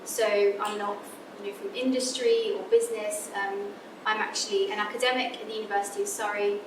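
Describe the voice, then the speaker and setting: A woman's voice: steady tone, brisk. A young adult woman with a southern English accent, an academic giving a friendly careers talk to students, with lots of ums and restarts. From Scotland. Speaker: guest speaker, academic physicist. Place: lecture room. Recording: echoey lecture room from far off, background rumble.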